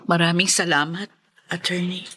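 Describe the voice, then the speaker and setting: A woman's voice: on the low side, fairly even intonation, normal speed, bright, forceful tone, husky. A woman acting as a conflicted, resigned new widow in a Filipino radio drama.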